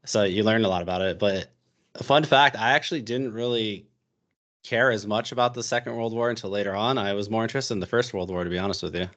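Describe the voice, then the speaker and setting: A man's voice: on the low side, quick pace, a bit bright, lo-fi sound. A young American man talking casually as a podcast guest, rambling with lots of fillers and restarts. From United States. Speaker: podcast guest, history YouTuber.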